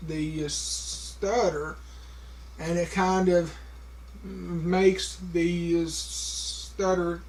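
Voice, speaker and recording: Slow man with a heavy stutter. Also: high voice, little pitch movement, darkish tone. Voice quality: heavy stutter with repeated sounds and blocks. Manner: slow, halting, many fillers. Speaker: vlogger. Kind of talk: casual talk to camera.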